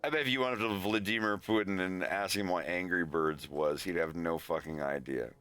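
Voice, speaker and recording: Very deep-voiced rambling American man. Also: fairly quick, fairly bright tone, clean tone, faint music behind. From United States. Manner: rambling, frequent "like" and "dude", swearing. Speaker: streamer. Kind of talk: casual livestream chat.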